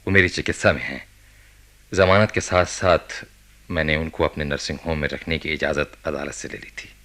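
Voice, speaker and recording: A man acting a grave, reserved doctor in a radio play, husky-voiced, measured and courteous. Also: rumbling low, steady tone, bright, forceful tone. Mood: guarded, courteous. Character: grave, guarded male doctor recounting a case. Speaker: doctor and narrator character.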